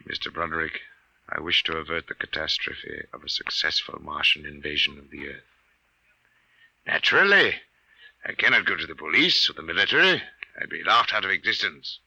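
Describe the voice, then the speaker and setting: A man's voice: extremely low pitch, lively intonation, fairly quick, vocal fry, bright, forceful tone, husky, dry recording, heavily acted, low-quality recording. An actor playing a formal, wealthy eccentric man with precise, pompous diction in a 1950s radio drama. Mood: grave, earnest. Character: formal, wealthy, eccentric male client obsessed with alien invasion.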